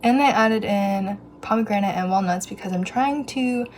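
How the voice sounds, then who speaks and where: A woman's voice: medium pitch, moderate pace, darkish tone, slight background noise. A young woman narrating her day in an upbeat, chatty vlog, talking straight to her viewers. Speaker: vlogger. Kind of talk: casual day-in-the-life narration.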